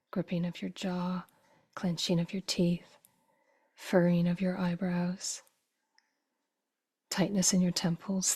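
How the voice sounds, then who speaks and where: A woman guiding a meditation in a soft, breathy voice that often drops toward a whisper, with slow, gentle phrases and long pauses. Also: lowish voice. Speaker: meditation teacher. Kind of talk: guided meditation.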